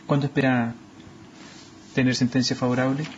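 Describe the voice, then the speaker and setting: A man's voice: medium pitch, little pitch movement, moderate pace, highs cut off, slight background noise. A man interviewing a guest on TV, pressing with insistent follow-up questions.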